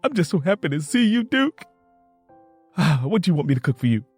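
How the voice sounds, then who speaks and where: A man voicing an earnest, excitable young country woman with an American Southern accent in an audiobook. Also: on the high side, fairly quick, husky, soft background music. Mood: overjoyed, eager. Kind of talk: audiobook character dialogue.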